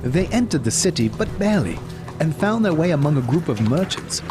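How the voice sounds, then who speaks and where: A man narrating a scripted dramatic tale as storyteller, solemn and measured. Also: a little high, sing-song. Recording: music behind the voice.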